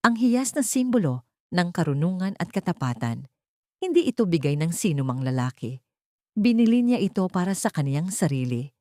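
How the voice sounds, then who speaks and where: A woman reading a scripted dramatic story as narrator, in a measured, solemn manner. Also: lowish voice, big pitch swings, a little mellow, no echo, clean studio sound.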